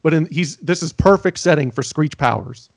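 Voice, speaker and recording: A man's voice: fairly high pitch, animated, moderate pace, vocal fry, no echo. A man co-hosting a podcast, laughing often and bantering playfully about a TV episode. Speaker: podcast co-host. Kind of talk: casual recap chat.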